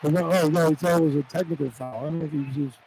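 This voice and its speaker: A man's voice: a little high, fairly even intonation, racing, a crowd in the background, rough recording. A man giving informal, opinionated basketball analysis as a radio color commentator, in a conversational, plain-spoken way. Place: courtside in an indoor arena. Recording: live arena broadcast with background noise. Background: faint arena noise.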